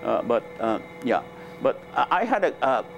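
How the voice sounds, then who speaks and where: An older man from Nepal, a native Nepali speaker, recounting his life in a relaxed, hesitant way with many fillers, on a lapel mic in a lecture room. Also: mid-range voice, moderate pace, gravelly, over music, low-quality recording. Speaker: interviewed scientist. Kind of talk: relaxed conversational storytelling. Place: lecture room with a small audience.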